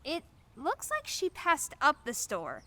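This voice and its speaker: A woman's voice: high-pitched, wildly swooping pitch, slow, sharp tone, no room sound, heavily acted. A woman acting in a radio play as a chatty, awkward, eager-to-please young neighbor who gushes and apologizes. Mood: helpful, eager. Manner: gushing, apologetic, hesitant.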